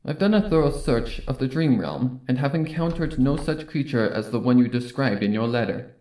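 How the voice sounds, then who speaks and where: A man's voice: medium pitch, expressive, normal speed, warm tone, lightly acted, poor audio. A man voicing a solemn, regal mare princess in formal, stately phrasing, in a dramatic story reading. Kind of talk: dramatic fan fiction reading.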